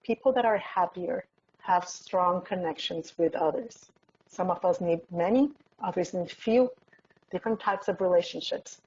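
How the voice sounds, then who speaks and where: A woman with a Mexican accent, a native Spanish speaker with a husky voice, speaking as a personal development coach in a motivational talk, addressing listeners directly. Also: lowish voice, moderate pace, a little mellow, slight background noise. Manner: direct address with rhetorical questions. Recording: dull, slightly muffled lo-fi sound.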